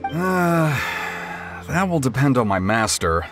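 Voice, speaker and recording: A polite young male apprentice blacksmith in a video game. His pitch swings very widely in an earnest, deferential delivery. Soft music is faintly audible behind him. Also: big pitch swings, slow. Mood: apologetic.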